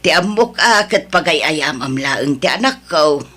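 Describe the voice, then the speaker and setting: A man voicing an apologetic, fussing elderly mother in a radio drama, with a raspy voice and an Ilocano accent. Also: fairly high pitch, expressive, a little slow, pushed and bright, full character voice. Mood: apologetic, coaxing.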